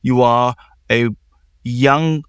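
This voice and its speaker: A man hosting a recovery podcast, asking gentle questions and restarting his phrases often. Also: mid-range voice, steady tone, drawn-out words, smooth, no echo, studio quality. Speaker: podcast host. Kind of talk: empathetic interview.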